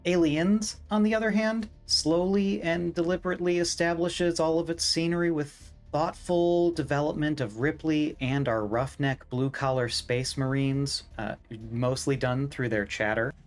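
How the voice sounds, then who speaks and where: A man's voice: on the high side, steady tone, normal speed, hardly any pauses. Wry male video essayist over music. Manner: wry, opinionated, emphatic. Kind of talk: scripted video essay narration.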